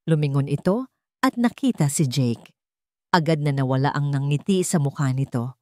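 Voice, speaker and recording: A woman's voice: low-pitched, animated, moderate pace, warm tone, no room sound, studio quality. A woman narrating a dramatic love story with emotive delivery.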